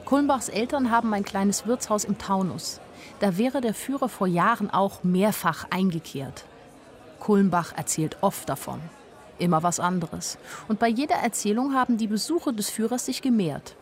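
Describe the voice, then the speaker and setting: A woman's voice: medium pitch, normal speed, lightly acted. An actress playing a naive, wryly observant young woman, narrating a radio drama in a dry, matter-of-fact way. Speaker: first-person narrator.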